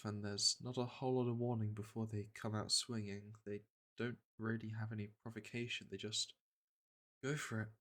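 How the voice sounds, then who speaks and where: A man playing a caring veteran monster hunter in a soft-spoken ASMR fantasy roleplay, with a reassuring, hesitant manner. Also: mid-range voice, close to an everyday voice. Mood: explaining, concerned. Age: middle-aged. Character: veteran monster hunter, gruff but caring male patroller. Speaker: roleplay voice actor.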